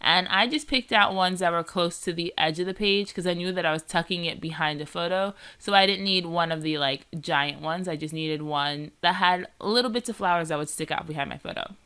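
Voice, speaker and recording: A woman's voice: on the low side, a little fast, few pauses, bright, forceful tone, smooth. A woman with a nasal, congested voice from a cold, chatting casually as she narrates her scrapbooking process, rambling with lots of "like" and "um". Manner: chatty and rambling, often saying "like" and "um". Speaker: scrapbooking vlogger.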